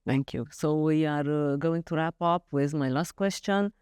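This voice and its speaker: A woman's voice: low voice, normal speed, darkish tone, clean tone, no echo, clean studio sound. A woman hosting an interview, asking questions politely with hesitant phrasing and fillers. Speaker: podcast host.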